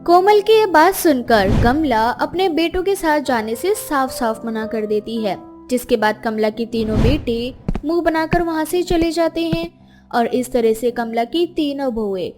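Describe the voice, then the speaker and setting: A woman tells a moral story as a scripted narrator. Her pitch is high, and music plays beneath the voice. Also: unhurried.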